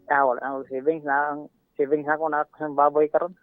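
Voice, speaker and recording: A man with a Filipino accent, a native Cebuano speaker, chatting casually and haltingly as a caller, searching for words. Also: a little high, little pitch movement, no echo. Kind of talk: casual chat. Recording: dull lo-fi radio call audio. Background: faint background music.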